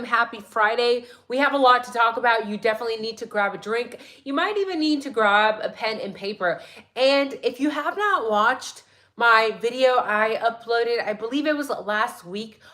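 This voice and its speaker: A woman hosting a casual true crime livestream, chatty and excitable, her voice a little raspy today. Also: on the high side. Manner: chatty, excitable, many "like"s and "right?"s. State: slightly raspy voice.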